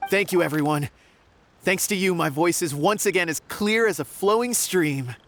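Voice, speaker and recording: High, timid fantasy creature. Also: male voice, normal speed, fairly bright tone. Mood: grateful. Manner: hesitant, polite. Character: timid, earnest, grateful male fantasy creature singer. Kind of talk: fantasy game character acting.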